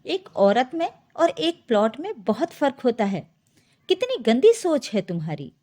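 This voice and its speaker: A woman's voice: high voice, very animated, a little slow, mellow, heavily acted. A woman voicing an indignant middle-aged father who scolds and defends his daughter in a story. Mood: outraged. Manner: scolding, outraged.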